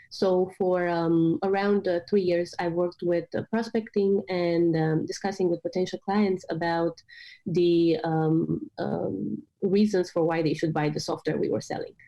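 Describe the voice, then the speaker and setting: A woman's voice: lowish voice, steady tone, normal speed, warm tone, dull audio. A woman from Romania in her late twenties, speaking as an interview guest with many hesitant fillers. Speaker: guest, startup product manager. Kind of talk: remote interview.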